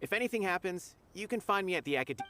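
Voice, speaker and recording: A man's voice: sits high, expressive, quick pace, slight background noise. An actor playing a friendly, dutiful young academy officer in a game, earnest and polite as he reports news. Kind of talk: game dialogue. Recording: game audio with loud music behind.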